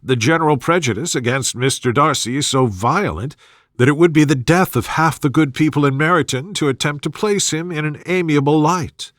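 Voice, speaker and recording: A man's voice: on the high side, a bit bright, dry recording, clean studio sound, lightly acted. Man reading as a composed, wry young woman. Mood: resolute, wry. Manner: measured, reasoning.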